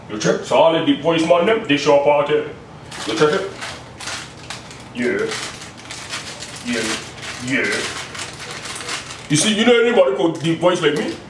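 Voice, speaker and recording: A playful, chatty man walks through a recipe in a small home kitchen. His voice sits a little high for a man and comes from a camera some distance away. The room adds a roomy echo, with faint background hiss. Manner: playful and chatty, tags lines with "you check?". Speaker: home cook host. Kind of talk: casual cooking demonstration. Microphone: camera mic at a distance.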